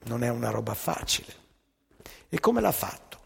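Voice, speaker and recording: Male stage storyteller: heavily rasping, creaky voice, emphatic rhetorical monologue in short measured phrases, live hall reverb. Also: medium pitch, very animated. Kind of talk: theatrical spoken monologue.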